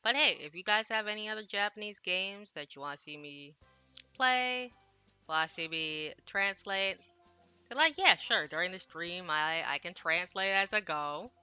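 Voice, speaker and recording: A woman with a very bright, sharp voice set a little low talks casually as a game streamer. She rambles along, putting herself down and apologizing, speaking into a headset over game music. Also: animated, moderate pace, clear, resonant tone. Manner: rambling, self-deprecating, apologetic. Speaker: streamer translating a Japanese visual novel. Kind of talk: casual livestream chat.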